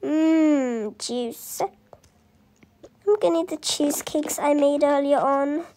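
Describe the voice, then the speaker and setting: The voice is very high and childlike, with a slow, unhurried delivery. It speaks softly, acting out everyday family dialogue for an improvised children's roleplay story. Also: slight background noise. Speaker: voiced roleplay video creator.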